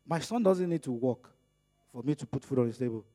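A middle-aged man whose first language is Yoruba, preaching a sermon in an animated way, acting out little dialogues as he goes. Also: a little fast, soft background music. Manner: animated, acts out dialogues with rising pitch and volume.

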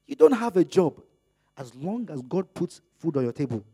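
A middle-aged man preaching with a high, bright voice. His first language is Yoruba. He acts out the exchanges in his stories, letting his voice climb and grow louder as he does. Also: normal speed, husky. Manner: animated, acts out dialogues with rising pitch and volume. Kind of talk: sermon.

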